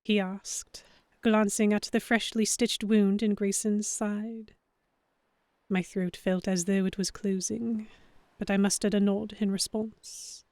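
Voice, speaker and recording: Woman as expressive young heroine, close dry sound. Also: medium pitch, slow, no room sound. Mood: uneasy. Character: young heroine narrating a pirate romance, flustered. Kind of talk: first-person dramatic audiobook narration.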